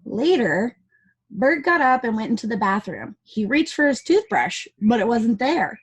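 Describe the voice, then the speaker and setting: A woman reading a picture book aloud to young children as their teacher, with chatty asides and expressive dialogue. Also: on the high side, measured pace, breathy edge, poor audio.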